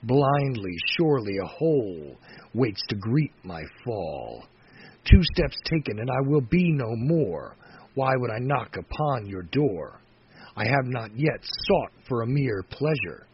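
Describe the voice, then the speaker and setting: A man reciting poetry dramatically, in a solemn, measured manner that moves between hushed phrase endings and emphatic phrases. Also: mid-range voice, big pitch swings, husky. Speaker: poetry reader. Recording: dull lo-fi recording with faint noise.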